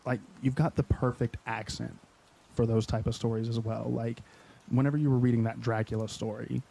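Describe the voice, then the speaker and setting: A man's voice: medium pitch, flat delivery, a little fast, gravelly, warm tone. A man hosting a live stream, chatting enthusiastically with a guest and passing on questions from chat. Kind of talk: casual interview chat.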